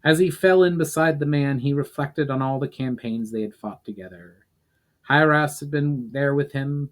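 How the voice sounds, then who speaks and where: A man reading a fantasy story aloud as dramatic narration, deliberate and occasionally stumbling over words. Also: medium pitch, low-quality recording. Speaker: writer reading own novel aloud.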